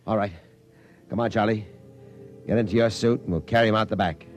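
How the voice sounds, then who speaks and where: An actor playing a gruff, capable spaceship pilot, terse and commanding, in 1950s radio drama style. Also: male voice, fairly low pitch, steady tone, a little fast, over loud music, natural voice. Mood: steadying, urgent. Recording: noisy vintage radio recording.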